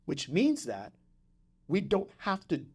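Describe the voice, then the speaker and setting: A man's voice: high voice, huge pitch swings, slow pace, breathy edge. A man hosting a podcast in a conversational motivational style, repeating phrases for emphasis and often checking in with the listener. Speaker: podcast host.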